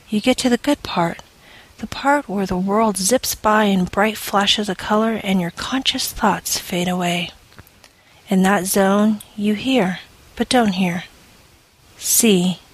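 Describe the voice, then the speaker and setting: A woman reading scripted audio fiction in a measured, deliberate manner, phrase by phrase. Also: medium pitch, poor audio. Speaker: story reader.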